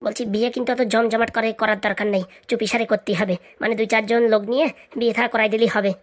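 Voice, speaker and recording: A woman's voice: fairly high pitch, level tone, very fast, close to an everyday voice. A woman voicing a kind, forgiving nephew in a comic cartoon, earnest and reassuring. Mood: dismissive, reassuring.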